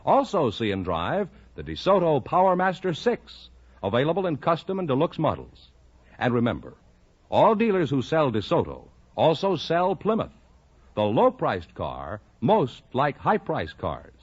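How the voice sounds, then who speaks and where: A man's voice: fairly high pitch, huge pitch swings, measured pace, slightly rough. An American man reading a scripted 1950s radio car commercial with emphatic salesmanship. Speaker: commercial announcer. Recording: dull vintage radio recording.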